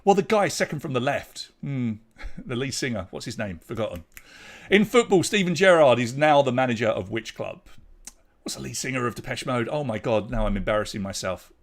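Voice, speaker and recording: Chatty English quizmaster, lively delivery. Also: male voice, mid-range voice, brisk. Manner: chatty, jokey banter with players between questions. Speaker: quiz host. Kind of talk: hosting a live online pub quiz.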